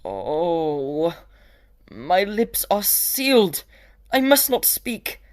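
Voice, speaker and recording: A woman playing an anxious, earnest young gentleman in a period drama, pleading in formal phrases. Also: lowish voice, sing-song, very slow, full character voice. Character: anxious, earnest young clergyman's son warning a friend. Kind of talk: period audio drama dialogue.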